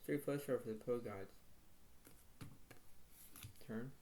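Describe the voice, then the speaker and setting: A man with a soft, quiet voice commenting on his own poker hands in a halting way, repeating himself and saying "wow". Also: mid-range voice, slight background noise. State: slight tickle in throat. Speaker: poker video host. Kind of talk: casual play-by-play commentary.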